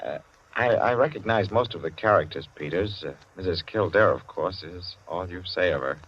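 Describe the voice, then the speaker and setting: An actor playing a measured, musing club gentleman in a 1940s radio drama, heard on a dull old broadcast. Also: sits low, breathy edge.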